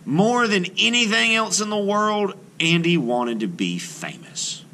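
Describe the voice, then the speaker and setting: A man reads aloud to children like an art teacher, with widely swinging intonation. His voice is bright and forward, and he explains things expressively. Faint room noise sits behind him. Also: high-pitched, animated, unhurried. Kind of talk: read-aloud of a children's book with teaching asides. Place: indoors, book filmed on a table.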